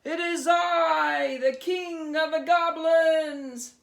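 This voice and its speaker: A monstrous goblin king from a storybook as a woman performs him, imperious and menacing. The words come out in loud, drawn-out, theatrical shouts. The pitch is pushed high and the pace is slow and heavy. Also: steady tone, vocal fry, heavily acted. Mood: booming, menacing.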